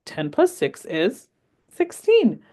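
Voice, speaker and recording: A woman's voice: medium pitch, wildly swooping pitch, takes it slowly, voice pushed up, exaggerated voice. A woman doing a wise old grandma. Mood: fond. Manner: gentle, storytelling, reassuring. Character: warm, wise old grandmother, patient and playful. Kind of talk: read-aloud storytelling.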